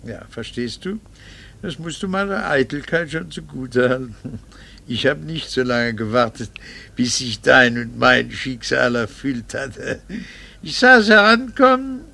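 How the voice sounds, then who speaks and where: An actor playing an embittered, coldly vengeful middle-aged husband in a radio play, sarcastic, theatrical and precisely articulated. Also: male voice, mid-range voice, lively intonation, measured pace, creaky, sharp tone, exaggerated voice. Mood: coldly triumphant. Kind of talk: German radio play acting.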